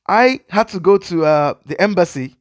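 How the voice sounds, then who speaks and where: A man's voice: sits high, lively intonation, moderate pace, no echo. A man in his thirties with a Nigerian accent, vlogging casually and animatedly on a headset mic in a small room, repeating points for emphasis. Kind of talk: casual vlog monologue.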